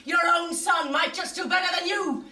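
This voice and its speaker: A woman's voice: very high voice, normal speed, bright, forceful tone. A woman playing a domineering, accusatory wife and melodramatically protective mother in a live sci-fi radio comedy. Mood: accusing. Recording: slightly distant stage pickup, music behind.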